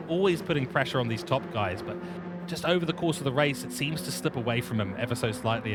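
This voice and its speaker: Male lead race commentator, lively and playful, mid-pitched, noisy background. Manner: lively, self-deprecating, playful asides. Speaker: sim racing lead commentator. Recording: steady background noise.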